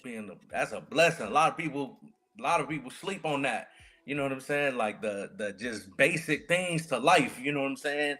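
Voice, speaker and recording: A man in his late thirties chatting casually and reflectively as co-host, in a slangy, relaxed way. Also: mid-range voice, normal speed, faint music behind. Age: late 30s. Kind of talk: casual chat.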